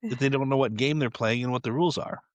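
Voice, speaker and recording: A man's voice: mid-range voice, quick pace, dry recording. A man with an American accent, hosting a podcast in a conversational discussion. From United States. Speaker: podcast host.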